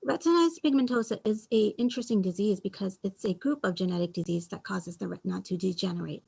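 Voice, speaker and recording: A woman's voice: medium pitch, fast, vocal fry, darkish tone, husky. A woman from Bangladesh, a panelist who explains her story in a matter-of-fact way. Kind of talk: online panel talk, personal story.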